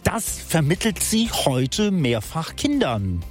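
A man's voice: fairly high pitch, big pitch swings, takes it slowly. A man giving a live radio report on location as a city reporter. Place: on location at an event. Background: faint music.

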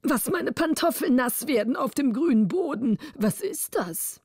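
A woman voicing a cheerful, soft-hearted old king in a storybook, exclaiming with naive wonder and pompous certainty. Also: very high-pitched, animated, a little slow, breathy edge, dry recording, clean studio sound, voice pushed up, full character voice. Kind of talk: storybook character dialogue.

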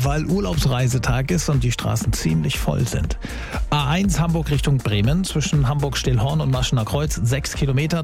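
A man's voice: medium pitch, vocal fry, darkish tone. A man presenting on the radio in a chatty, informal way. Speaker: radio host. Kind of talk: casual radio presenting, weather and traffic. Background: music bed.

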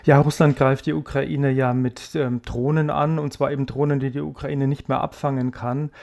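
A man's voice: medium pitch, a little mellow. A male correspondent answering a radio host's questions, explaining at length with frequent hesitations. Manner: explanatory, many fillers. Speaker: foreign correspondent.

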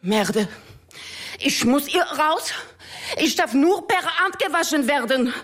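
A woman's voice: high voice, expressive, slow, a bit bright, pitched above the performer's own voice, heavily acted. Woman putting on a haughty, indignant linen shirt.

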